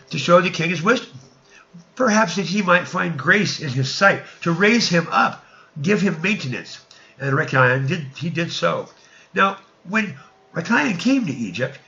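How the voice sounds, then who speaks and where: A man reading archaic scripture narrative aloud in an emphatic, declamatory and sometimes halting way. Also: medium pitch, pushed and bright, highs cut off.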